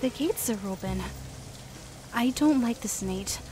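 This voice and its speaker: Young woman played by an actress, anxious, grumbling, slow-paced, high voice, noisy home-made radio drama. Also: warm tone, soft background music, close to an everyday voice. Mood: uneasy. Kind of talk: amateur radio play acting.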